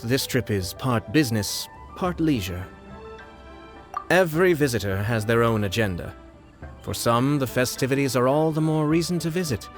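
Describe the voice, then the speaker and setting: Man as a courtly young lord, suave, formal, slyly amused, lively intonation, video game cutscene, soft music behind. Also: medium pitch, close to an everyday voice. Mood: wryly amused. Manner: formal, polite, measured phrasing.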